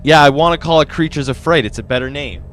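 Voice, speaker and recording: A man's voice: a little high, quick pace, a bit bright, faint music behind, natural voice. A man playing a boastful, awkward monster-show host, rambling and defensive as he presents a mock documentary. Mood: defensive, irritated.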